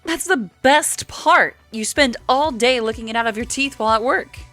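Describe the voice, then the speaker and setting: A woman playing a blunt, bossy, brown-furred female alien in audio fiction, with a flat, brusque delivery. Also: fairly high pitch, normal speed, dry recording, soft background music, close to an everyday voice. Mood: playfully bossy. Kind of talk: audio fiction character acting.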